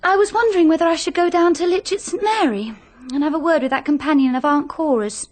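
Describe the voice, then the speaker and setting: An actress playing a sly, flippant, languid society wife with a British accent in a radio mystery. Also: female voice, sits high, quick pace, lightly acted. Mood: cool, evasive. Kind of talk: radio mystery drama acting.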